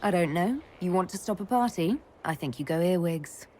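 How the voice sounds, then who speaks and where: Woman acting a calm elf leader, lowish voice, direct, composed, game dialogue, faint background noise. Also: normal speed, lightly acted. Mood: dry. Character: calm, supportive female elf mage leader. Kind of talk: dramatic video game dialogue. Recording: slight background noise and ambience.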